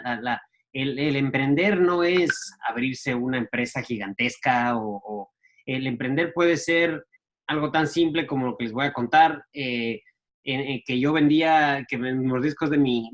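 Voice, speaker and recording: Man telling stories, halting. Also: mid-range voice, fairly bright tone. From Ecuador. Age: 40s. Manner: halting, many restarts and fillers, laughs at himself. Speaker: guest entrepreneur. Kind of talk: casual storytelling.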